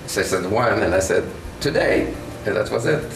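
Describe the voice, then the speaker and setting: A man's voice: on the low side, animated, moderate pace, gravelly, a little hiss or hum. A man in a casual interview, emphatic and full of fillers. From Israel. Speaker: interview guest. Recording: echoey, distant mic, music under.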